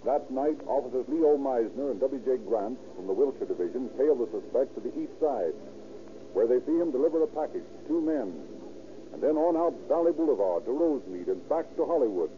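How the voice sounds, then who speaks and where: An actor as a formal, serious narrator of an old radio police drama, with a dark, mellow voice. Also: male voice, medium pitch, lively intonation, a little fast, slight background noise, lightly acted. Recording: muffled old radio recording.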